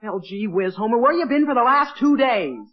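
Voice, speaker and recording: An actor playing an awkward, excitable teenage boy in an old radio comedy, hesitant and stammering. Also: very high voice, a little fast. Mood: exasperated. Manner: hesitant, stammering, exclaiming "gee whiz". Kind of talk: 1940s radio comedy acting. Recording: dull, band-limited old radio recording.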